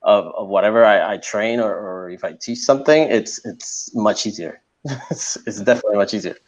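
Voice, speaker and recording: A man's voice: on the low side, fairly quick. A man in his forties from Puerto Rico, a native Spanish speaker, talking as a guest in a casual interview with many fillers and restarts. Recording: dull lo-fi sound.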